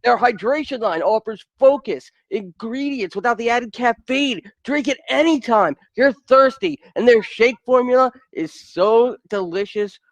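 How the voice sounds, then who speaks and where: A man reading a sponsor ad in an upbeat, selling tone. His voice is high, and the recording is dry and close. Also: expressive, measured pace, hardly any pauses, no echo. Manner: upbeat promotional read. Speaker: ad announcer. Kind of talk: read sponsor ad.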